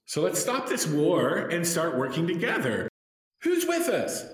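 An actor playing a rousing peacemaker at a gaming convention in a tongue-in-cheek radio play. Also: male voice, on the high side, huge pitch swings, unhurried. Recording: echoey hall, far off, noisy ambience.

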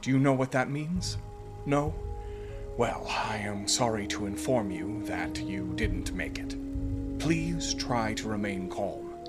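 An actor playing a calm, grave investigator who wakes dead souls, speaking formally and soothingly in a horror audio drama. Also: male voice, fairly low pitch, slow. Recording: music behind the voice.

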